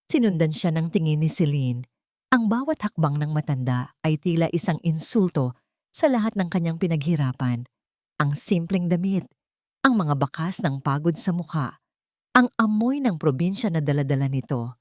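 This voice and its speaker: A woman's voice: sits low, lively intonation, normal speed, no room sound. A woman storyteller giving a dramatic scripted narration, recorded close as a voice-over.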